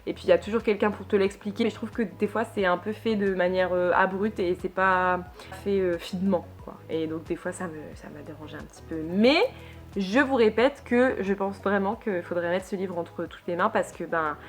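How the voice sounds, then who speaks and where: A woman's voice: medium pitch, normal speed, soft background music. A young woman from northern France giving a casual book review in a chatty, hesitant way, full of fillers and restarts. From Lille, northern France. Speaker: book vlogger.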